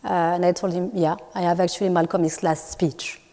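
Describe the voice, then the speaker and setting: Female artist from Morocco, native Moroccan Arabic speaker, lowish mellow voice, hesitant lecture delivery. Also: fairly even intonation, a little fast. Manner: thoughtful, hesitant with many fillers and restarts. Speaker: artist in a panel talk. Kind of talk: public talk at a university event. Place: lecture hall. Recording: long hall reverb.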